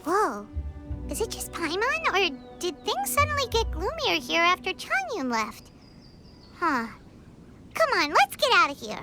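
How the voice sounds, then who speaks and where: A tiny fairy girl sidekick in a video game, with an extremely high, squeaky childlike voice. She is excitable and swings up and down as she chatters, over prominent music. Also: a little slow, heavily acted. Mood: puzzled. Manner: speaks of self in third person, excitable. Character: small floating fairy girl companion, childlike and chirpy. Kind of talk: video game character acting.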